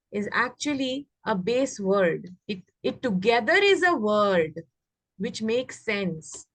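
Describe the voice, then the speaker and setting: A woman with an Indian accent teaches a grammar class to children. Her voice sits fairly high, and she explains step by step, often stopping to ask if it is understood. The sound is close and free of room echo. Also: a bit bright. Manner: explanatory, checks understanding with frequent questions. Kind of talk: interactive English grammar lesson for children.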